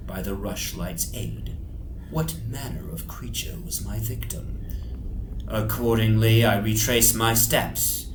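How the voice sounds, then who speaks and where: A man giving a theatrical, suspenseful reading of a horror story, often dropping into breathy whispers. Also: lowish voice, noisy background. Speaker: narrator. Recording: reverberant echo, music underneath. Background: music soundscape.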